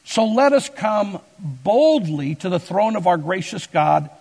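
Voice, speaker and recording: A male pastor preaching a sermon with deliberate, emphatic stresses, drawing words out slowly for weight. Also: high voice, big pitch swings, vocal fry, darkish tone, husky. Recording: long hall reverb.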